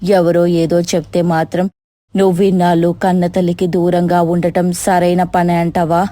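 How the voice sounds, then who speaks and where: A woman's voice: fairly low pitch, monotone, normal speed, dark tone, dry recording, voice pushed down, lightly acted. A woman voicing a humble, caring older village mother-in-law in a cartoon story, plain-spoken and motherly. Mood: reproachful. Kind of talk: moral story cartoon voice acting.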